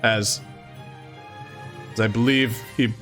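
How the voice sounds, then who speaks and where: A man's voice: on the low side, fairly even intonation, slow pace, a bit bright, faint music behind. A man reading aloud and commenting casually, with an amused, exclaiming, playfully sarcastic manner. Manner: amused, exclaiming, often chuckling. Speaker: host of a let's-read series.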